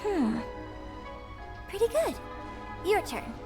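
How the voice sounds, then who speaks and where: A woman playing a friendly young female singer in a video game, speaking in a gentle, chatty, politely playful way. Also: very high-pitched, big pitch swings, takes it slowly, far from the mic, full character voice. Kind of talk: video game character dialogue. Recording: game audio with music behind.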